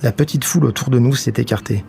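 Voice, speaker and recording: A man reading scripted first-person narration from a novel, at a middle pitch. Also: quick pace, gravelly, mellow. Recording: some background noise and ambience.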